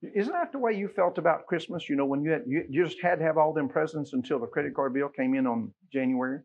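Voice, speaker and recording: A middle-aged man with an American accent preaching a sermon in a folksy, conversational way, leaning on emphatic rhetorical questions, with a husky voice. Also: on the high side, animated, fast, a little mellow, highs cut off. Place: church sanctuary, at a pulpit.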